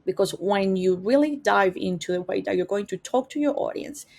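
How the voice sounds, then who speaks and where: A woman's voice: mid-range voice, lively intonation, normal speed, creaky, a little mellow. A woman with a strong Spanish accent, a native Spanish speaker, teaching an informal marketing class in a conversational way and often searching for words. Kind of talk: informal master class talk.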